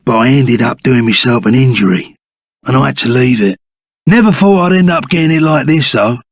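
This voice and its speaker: An actor playing a loyal, excitable reformed bandit sidekick in a video game, with a Cockney accent and blunt, slangy speech. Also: male voice, mid-range voice, brisk, no echo, clean studio sound. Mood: wistful, low. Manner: rough colloquial slang, blunt and emphatic. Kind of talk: video game character voice acting.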